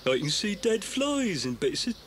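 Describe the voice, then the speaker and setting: An actor playing a small, spiteful farmer villain in an audio story, sarcastic and needling. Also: male voice, sits high, big pitch swings, normal speed, fairly bright tone. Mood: sneering. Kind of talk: 1980s audio story dramatisation. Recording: noisy old cassette.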